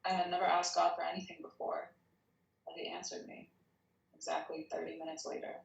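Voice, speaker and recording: A woman's voice: lowish voice, level tone, brisk, creaky. A woman reading the part of an earnest, devout teenage girl recalling her past at a script read. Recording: echoey, roomy sound.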